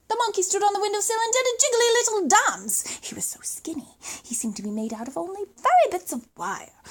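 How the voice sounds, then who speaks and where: Female storyteller, very high and animated, expressive children's read-aloud with dramatic pauses. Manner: dramatic, emphatic, suspenseful pauses.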